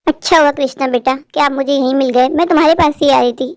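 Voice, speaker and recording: A woman's voice: high-pitched, steady tone, fast, no room sound. Woman playing caring persuasive aunty, dry sound. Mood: earnest persuading. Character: caring, persuasive older neighbourhood aunty matchmaker. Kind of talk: Hindi cartoon dialogue.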